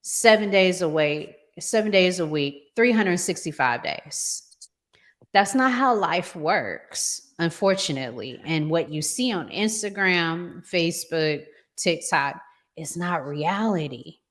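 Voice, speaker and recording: Slow, emphatic woman talk host. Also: lowish voice, lively intonation, a bit bright. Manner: emphatic, repeats phrases for emphasis. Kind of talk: conversational relationship advice talk.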